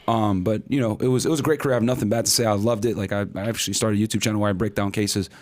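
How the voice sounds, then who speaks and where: A man in his thirties telling his story as a podcast guest, in casual, rapid talk full of fillers and acted-out quotes. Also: lowish voice, fairly even intonation. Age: 30s.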